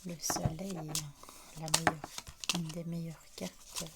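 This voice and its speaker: A woman with a cold-roughened, hoarse voice leads a card reading in a low, level tone. She speaks very slowly and often drops into a breathy half-whisper. Loud background noise and the shuffle and click of cards run under her voice. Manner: soft, half-whispered, calm. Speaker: tarot card reader. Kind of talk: slow card reading guidance.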